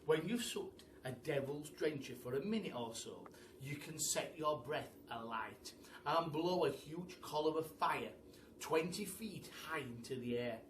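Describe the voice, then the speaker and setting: A man giving an animated, theatrical storybook reading for children. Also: on the high side, a little slow. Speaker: primary school storyteller. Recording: roomy echo, distant mic, faint noise.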